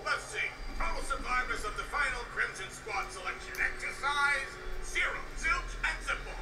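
High-pitched flippant henchman. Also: lively intonation, normal speed. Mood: breezy, careless. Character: flippant, dim-witted male henchman soldier. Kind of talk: scripted video game voice acting. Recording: echoey distant game playback with music.

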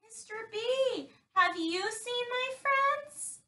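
Storybook unicorn boy, curious and pleading, high bright voice, put on by a woman. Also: expressive, slow, recorded from a distance, heavily acted. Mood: questioning. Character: sweet curious young unicorn boy searching for friends.

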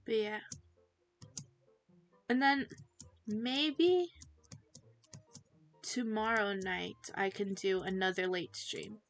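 A teenage girl chatting casually to viewers while playing a game, giggly and easily distracted. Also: mid-range voice. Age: teens. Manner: chatty, giggly, self-distracted, quick to laugh. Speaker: streamer. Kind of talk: casual livestream chat while gaming.

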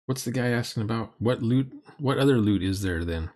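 A man's voice: medium pitch, brisk, a little mellow. A middle-aged man giving casual, sardonic and critical commentary on a roleplaying game.

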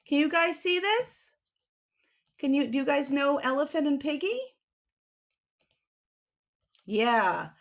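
A middle-aged woman leading a drawing lesson for children, explaining simply with animated emphasis on key words. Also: high voice, takes it slowly, long pauses. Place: small home room with bookshelves. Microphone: computer mic on a video call.

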